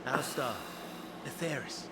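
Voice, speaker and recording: An actor playing an erudite, idealistic scholar in a fantasy game, lecturing in formal, archaic phrases. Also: male voice, medium pitch, a little slow, very noisy, lightly acted. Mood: earnest, lecturing. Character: erudite, idealistic male scholar of an ancient world. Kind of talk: scripted fantasy game dialogue. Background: loud game music.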